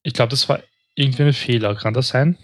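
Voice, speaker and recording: A man's voice: mid-range voice, steady tone, a little fast, no room sound, clean studio sound. A man co-hosting a casual podcast about a TV series, questioning things in a sceptical way. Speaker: podcast co-host.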